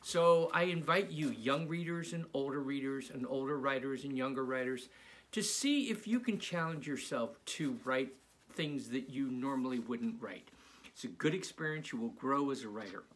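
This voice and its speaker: A man's voice: medium pitch, moderate pace, fairly bright tone, rough recording. An older man, a children's author, talking casually and encouragingly as he introduces a reading of his work.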